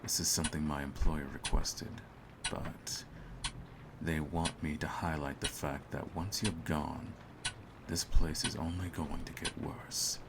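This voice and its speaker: A man playing a cold, smug hitman in an audio roleplay, speaking in a theatrical, menacing and deliberate way. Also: rumbling low, little pitch movement, vocal fry, very noisy, heavily acted. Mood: cold, composed menace. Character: cold, smug male hitman holding his target at gunpoint. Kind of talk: scripted ASMR audio roleplay.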